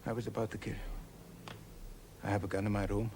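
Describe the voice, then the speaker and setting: Guy playing a proud wounded dad in a radio play, talks fast, goes from yelling to near whispers. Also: on the low side, little pitch movement, a little hiss or hum, close to an everyday voice. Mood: hushed, grave. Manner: swings from shouted outrage to hushed whispered confession. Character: proud aging Neapolitan father, wounded and brooding. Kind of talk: radio drama acting.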